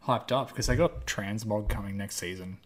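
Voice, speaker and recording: Guy chatting about a game, kinda low voice, pokes fun at himself. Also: brisk. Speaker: podcast co-host. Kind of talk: casual gaming chat.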